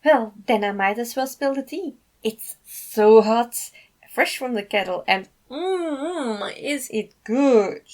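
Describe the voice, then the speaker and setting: A woman's voice: on the high side, lively intonation, takes it slowly, a little mellow, voice pushed up. A woman voicing a giddy, flamboyant young male fantasy character in a story reading, gushing with dramatic exclamations. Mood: excited, gossipy.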